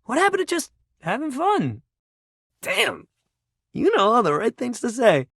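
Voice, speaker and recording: A man's voice: extremely high pitch, huge pitch swings, a little slow, a bit bright, husky, dry recording, studio quality, exaggerated voice. A man voicing a video game character, a living shuttlecock who is an upbeat, laid-back young sports coach with slangy, encouraging, bro-ish talk. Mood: warm, encouraging.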